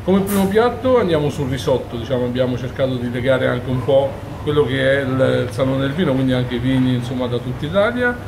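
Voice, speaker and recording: A man's voice: mid-range voice, animated, fairly quick, hardly any pauses. A man in his forties from Umbria, a chef talking in detail during an on-camera interview, with frequent fillers and restarts. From Umbria, Italy. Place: busy restaurant kitchen during service. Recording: kitchen noise behind.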